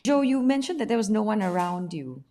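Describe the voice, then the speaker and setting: A woman's voice: medium pitch, very animated, brisk, dark tone. A woman speaking as a panelist in a casual discussion.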